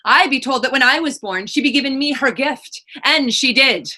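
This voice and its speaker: Woman playing witch, high bright voice, proud, defiant, taunting, folksy stage drama. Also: moderate pace. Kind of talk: stage readers theater acting.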